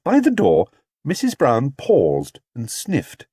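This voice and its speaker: A man's voice: medium pitch, huge pitch swings, takes it slowly, dark tone, breathy edge, no echo, studio quality. A man reading a scripted children's story as an audiobook narrator.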